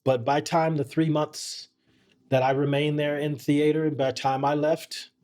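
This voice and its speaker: A middle-aged man, a podcast guest, telling personal stories in an earnest, measured way with frequent restarts. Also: on the high side, level tone, a little mellow. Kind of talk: reflective conversational storytelling.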